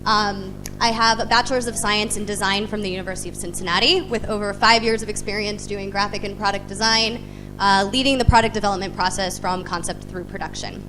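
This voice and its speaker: A woman's voice: medium pitch, rough recording. A woman in her thirties from the United States, giving an upbeat live app demo at a bootcamp demo day. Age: 30s. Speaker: bootcamp student presenter. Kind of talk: demo day product presentation. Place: event stage, live presentation. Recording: loud music in background.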